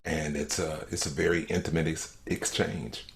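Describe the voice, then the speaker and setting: Male, profoundly low bass register, measured and thoughtful, unscripted interview reflection. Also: flat delivery, vocal fry.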